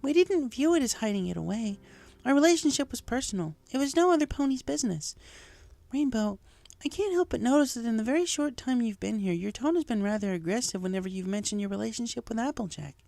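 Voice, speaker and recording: A woman voicing a calm, soft-spoken mare pony who speaks earnestly and with measured care in a story. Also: a little high, gravelly, dry recording, pitched above the performer's own voice. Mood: calm, frank. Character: calm, gently cautioning mare pony recovering from injury. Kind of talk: fan fiction character dialogue. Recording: music behind the voice.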